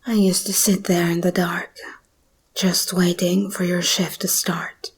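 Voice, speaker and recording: Breathy, slow-whispering predatory monster girl, played by a woman. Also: lowish voice, darkish tone, heavily acted. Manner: slow, teasing, predatory purr. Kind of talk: ASMR roleplay, intimate monologue.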